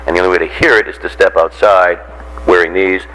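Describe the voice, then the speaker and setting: Voice coach, American male, lowish pitch, informal and joking as he instructs a group. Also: fast, poor audio. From Randolph, near Boston, United States. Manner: jocular, animated teacher who acts out examples and puts questions to listeners. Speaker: voice coach giving a workshop.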